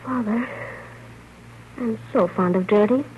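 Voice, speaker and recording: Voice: medium pitch, slow, natural voice. An actor playing an earnest, naive little boy in a 1930s radio drama. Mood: pleading. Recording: muffled, noisy old radio broadcast.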